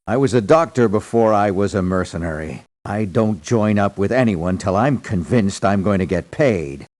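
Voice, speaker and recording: An actor playing a self-important, stern mercenary doctor in a video game, speaking in a haughty, formal, deliberate way. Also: male voice, on the low side, lively intonation, darkish tone, natural voice. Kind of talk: video game character voice lines.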